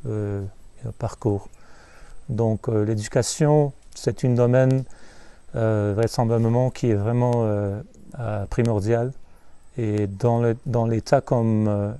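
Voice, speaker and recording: A middle-aged man from Montreal talks off the cuff at a wildlife sanctuary. His voice sits fairly low and sounds mellow. He speaks haltingly, full of filler sounds and self-corrections. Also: no room sound. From Canada, Montreal. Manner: hesitant, many filler sounds and restarts. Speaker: sanctuary staff member. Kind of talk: unscripted video presentation. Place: at a chimpanzee sanctuary in West Africa.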